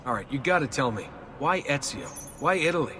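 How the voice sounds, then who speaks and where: A man's voice: mid-range voice, big pitch swings, fairly quick, sharp tone, a little hiss or hum. A man playing a wry, questioning young everyman hero in a video game, speaking casually. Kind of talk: video game cutscene dialogue. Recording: music behind the voice.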